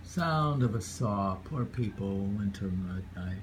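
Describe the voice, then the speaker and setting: Low voiced guy reading haiku real slow, musing to himself, noticeable background noise. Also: expressive. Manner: unhurried, musing, often repeats phrases. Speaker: poetry reader. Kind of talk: reading haiku aloud with casual musing asides.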